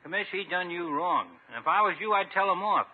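An actor playing a cocky, folksy small-town barber with slangy, wisecracking talk, in 1950s radio comedy style. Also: male voice, high-pitched, animated, a little fast, very noisy. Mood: egging on. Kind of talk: 1950s radio sitcom acting. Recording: muffled narrow-band 1950s radio recording.

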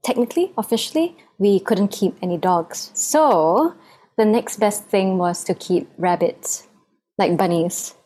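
A woman's voice: mid-range voice, lively intonation, unhurried, dark tone, slightly rough. A young adult woman from Singapore, a podcast guest telling her story in a chatty way with frequent laughs. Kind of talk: casual storytelling interview.

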